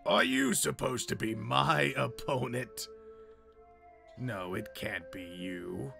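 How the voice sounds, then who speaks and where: A man playing an arrogant, menacing alien warrior villain in an amateur anime game roleplay, taunting with deliberate menace. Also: mid-range voice, animated, gravelly, music in the background, full character voice.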